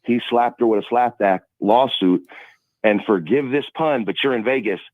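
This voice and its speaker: A man's voice: medium pitch, normal speed, dry recording. A man calling a radio show over a narrow phone line, explaining his argument conversationally.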